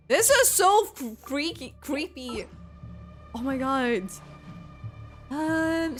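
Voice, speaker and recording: A woman game streamer giving excitable, halting reaction commentary as she plays. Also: high voice. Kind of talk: live gameplay reaction commentary.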